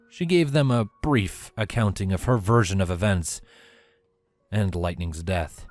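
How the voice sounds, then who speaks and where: Low-voiced man narrating a story. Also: dry recording. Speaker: narrator of fan fiction.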